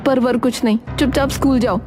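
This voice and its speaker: Woman acting strict village mother, soft-toned, high-voiced. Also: fairly even intonation, fairly quick, lightly acted. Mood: firm, cautioning. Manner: scolding, firm, plain-spoken. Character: Champa, poor, strict, worried village mother. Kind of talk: cartoon moral story acting.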